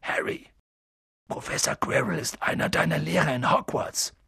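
A man's voice: on the high side, moderate pace, gravelly, pushed and bright, husky, no echo, studio quality, exaggerated voice. A man voicing a big, kindly, protective fellow who talks in casual clipped phrases, in an audiobook. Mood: friendly, businesslike. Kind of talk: audiobook character voice.

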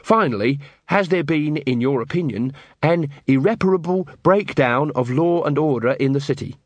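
A man voicing an earnest, polite young watchman, lively pitch. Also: dry recording. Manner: measured, formal, officious. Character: young earnest, literal-minded, unflappably polite city watchman.

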